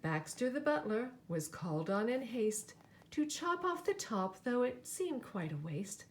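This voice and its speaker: A woman reading a picture book aloud to children in an expressive, dramatic way. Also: on the high side, unhurried. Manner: animated, dramatic, with asides to listeners.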